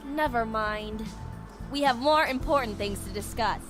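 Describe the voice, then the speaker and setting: An impatient young female leader from a visual novel game, played by an actress, talks in a fairly high voice with a brusque, demanding edge. Music is clearly heard behind her. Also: measured pace, lightly acted. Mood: serious, brisk. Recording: background music under the voice.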